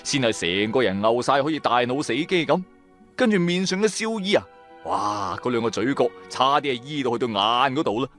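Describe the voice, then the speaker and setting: A man's voice: medium pitch, animated, brisk, fairly bright tone, soft background music, close to an everyday voice. An actor with a Cantonese accent playing a streetwise, wry businessman turned tomb raider, narrating in colloquial first person for a radio drama. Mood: amused, exasperated. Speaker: narrator and main character of an audio drama.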